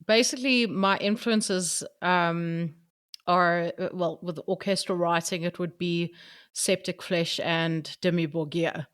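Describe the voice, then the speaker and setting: A woman's voice: lowish voice, steady tone, takes it slowly, fairly bright tone, clean tone. A woman answering interview questions about her music, hesitant with many fillers. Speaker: band keyboardist.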